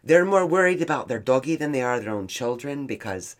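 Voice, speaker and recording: A woman's voice: very deep voice, fairly quick. Chatty woman telling a travel story. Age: middle-aged. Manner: animated, jokey, lots of restarts and quoted voices. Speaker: podcast co-host. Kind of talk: casual storytelling chat.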